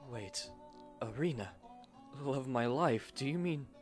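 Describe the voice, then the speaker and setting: A man acting a devoted, earnest young gentleman newlywed in a romantic roleplay, with formal old-fashioned phrasing. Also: medium pitch, animated, unhurried, over music, voice pushed up, natural voice. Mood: stunned joy.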